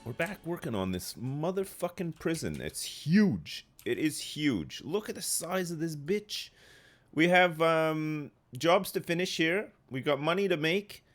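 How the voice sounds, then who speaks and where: A man's voice: on the high side, sing-song, unhurried, fairly bright tone, soft background music. A man in his thirties streaming a game live, phlegmy and rambling as he answers chat. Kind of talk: casual live gameplay chat.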